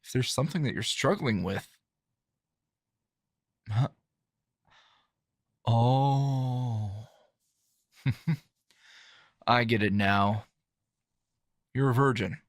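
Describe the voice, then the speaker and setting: A man playing a calm, dry, teasing hero and coworker in an intimate ASMR roleplay, talking in a low-key, halting, conversational way. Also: no room sound. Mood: concerned, gentle.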